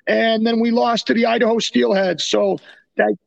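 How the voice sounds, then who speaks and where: A man's voice: very high voice, flat delivery, moderate pace, gravelly. A young man co-hosting a podcast as a former goalie, chatting and telling slangy anecdotes on a dull, lo-fi recording. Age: young adult. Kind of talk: casual chat, anecdotes.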